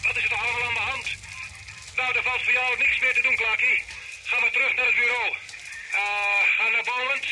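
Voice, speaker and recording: An actor playing an exasperated, swearing police officer in a radio drama, barking over a two-way radio. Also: male voice, extremely high pitch, little pitch movement, brisk. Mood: impatient, angry. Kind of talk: Dutch radio crime drama acting. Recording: very noisy crackling two-way radio.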